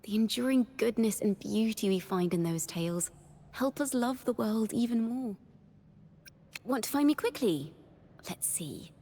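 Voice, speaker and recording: A woman voicing a young, horned wandering bard in a video game, a cheerful yet wistful poet who speaks in lyrical, expressive storytelling with a reverberant tail. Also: a little high, unhurried. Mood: playful, warm. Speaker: game character voice. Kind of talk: scripted character monologue.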